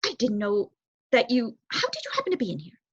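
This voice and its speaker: Lady doing a kindly tired old man mouse from a storybook, measured, husky, muffled. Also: fairly high pitch, huge pitch swings, exaggerated voice. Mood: startled, cordial. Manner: measured, cordial, reassuring. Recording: roomy echo, dull muffled sound.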